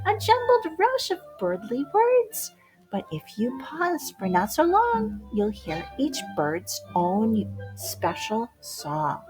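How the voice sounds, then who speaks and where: Female storyteller for a children's picture book: lively, swooping delivery at a slow pace, playful emphasis, over music. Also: on the high side, low-quality recording. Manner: playful, expressive, drawn-out words and dramatic emphasis. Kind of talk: animated rhyming storybook read-aloud for young children. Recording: music behind the voice.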